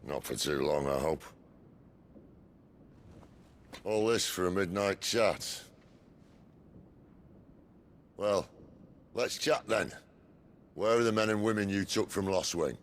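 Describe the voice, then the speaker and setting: A man's voice: low-pitched, normal speed, close to an everyday voice. An actor playing a weary male outlaw leader in a game cutscene, dry and sardonic. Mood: wry. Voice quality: gravelly.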